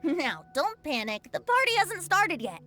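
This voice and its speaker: A woman's voice: very high-pitched, sing-song, a little slow, sharp tone, soft background music, exaggerated voice. A woman voicing a cheerful, exuberant young female festival host in an anime-style video game.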